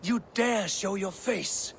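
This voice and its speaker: An actor playing a proud, scheming Norse god in a video game, with a measured, persuasive manner. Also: male voice, high voice, full character voice. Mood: proud, defiant. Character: smooth-talking, fateful Norse god in disguise, proud schemer. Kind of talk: dramatic video game acting. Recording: game cutscene with clear background noise.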